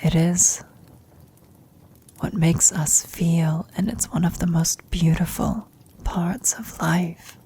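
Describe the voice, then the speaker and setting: A woman acting a frail, dying old adventurer in an intimate ASMR roleplay, speaking tenderly and haltingly in a breathy, husky whisper. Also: lowish voice, steady tone, dark tone. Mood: reflective, earnest. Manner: halting, tender, reflective, long pauses.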